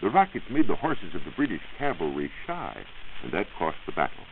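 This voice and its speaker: A man's voice: low-pitched, big pitch swings, fairly quick. A man with an American accent explaining as a science reporter in scripted 1940s radio style. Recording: tinny, lightly hissy 1940s radio recording.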